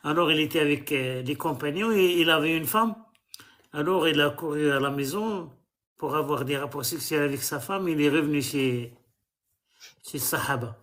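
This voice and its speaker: A man's voice: on the high side, normal speed, a bit bright. A man from Algeria hosting a live stream, quizzing callers with pointed, mocking questions.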